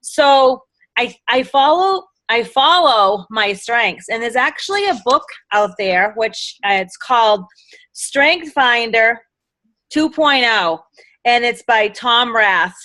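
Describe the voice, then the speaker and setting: A woman's voice: on the high side, slow, no room sound. A middle-aged woman with a Boston accent gives an enthusiastic, rambling motivational talk as a guest speaker, often drifting off topic. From Massachusetts. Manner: enthusiastic, rambling, quotes others in lively voices.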